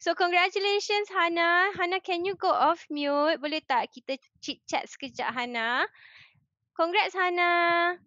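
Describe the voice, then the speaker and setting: A woman's voice: very high-pitched, moderate pace, pushed and bright, no room sound. A woman with a Malaysian accent hosting a live online show and chatting with the audience, switching between Malay and English. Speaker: host of a virtual cooking show. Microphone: headset on a video call. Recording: dull lo-fi video-call audio.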